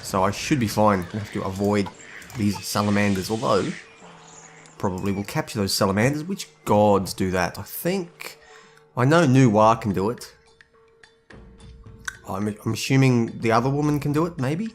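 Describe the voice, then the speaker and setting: Aussie guy, husky and hungover, low voice, mumbles through his game plan, faint music behind. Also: moderate pace. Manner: rambling, thinks aloud. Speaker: gaming video host. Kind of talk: casual gameplay commentary.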